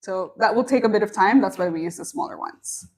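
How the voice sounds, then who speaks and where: A woman's voice: medium pitch, brisk, darkish tone. A young woman hosting a live online lesson from a small office, chatty and upbeat, reading viewer comments aloud between explaining the supplies. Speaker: online coordinator and live-stream host. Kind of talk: friendly live-stream introduction and craft tutorial. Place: small office at a bamboo factory.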